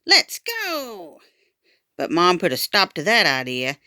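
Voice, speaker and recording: A woman reading a children's book aloud in an expressive, dramatic storytime style. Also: low voice, normal speed, no echo, clean studio sound. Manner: animated, dramatic. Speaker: storytime reader.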